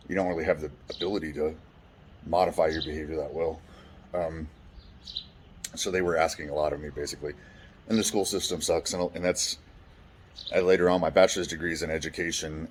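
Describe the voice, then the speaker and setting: A man with a deep, resonant voice telling his life story frankly, swearing casually and restarting often. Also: a little hiss or hum. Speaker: vlogger. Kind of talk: candid personal storytelling.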